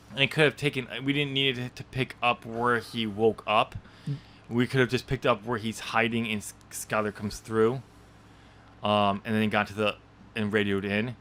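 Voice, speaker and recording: A man's voice: fairly low pitch, moderate pace, a bit bright, slight background noise. A man hosting a podcast about his own novel draft, chatting casually, laughing often and rambling with lots of fillers. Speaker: podcast host and author.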